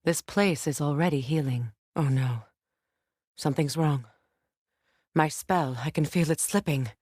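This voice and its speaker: A woman's voice: deep voice, unhurried, darkish tone, breathy edge, no room sound, studio quality. An actress playing a proud, middle-aged Norse goddess warrior and grieving mother in a video game, with earnest, formal delivery. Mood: grave, measured. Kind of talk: dramatic game dialogue.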